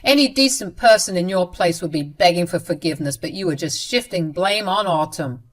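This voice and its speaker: A woman's voice: on the low side, lively intonation, normal speed, fairly bright tone. A woman voicing a grown son who firmly scolds his mother. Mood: stern. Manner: firm and scolding.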